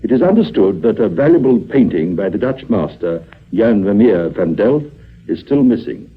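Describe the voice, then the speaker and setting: British bulletin reader, formal measured wording, rising and falling cadence, tinny narrow radio effect. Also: male voice, lowish voice, sing-song, breathy edge. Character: formal, impassive British male radio newsreader. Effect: heard through a bedside radio. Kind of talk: scripted news bulletin. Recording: thin phone-like old radio sound.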